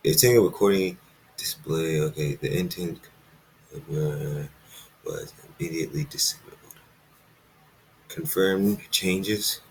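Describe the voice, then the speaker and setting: A man reading a story aloud informally, halting and casual, with dramatic pauses and asides to listeners. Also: a bass voice, a little hiss or hum. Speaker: fan fiction narrator reading own recording. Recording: roomy, echoey, distant microphone.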